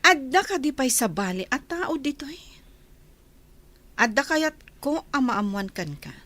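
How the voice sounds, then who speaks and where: A woman's voice: high-pitched, very animated, a little slow, fairly bright tone, heavily acted. A woman playing a haughty, scornful lady of a mansion in a radio drama, speaking in a cutting, condescending way. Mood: haughty, probing. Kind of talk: Ilocano radio drama acting.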